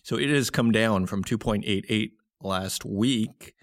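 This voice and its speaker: Man, lower-pitched voice, podcast host, conversational market commentary, explanatory, short thought-out phrases. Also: measured pace.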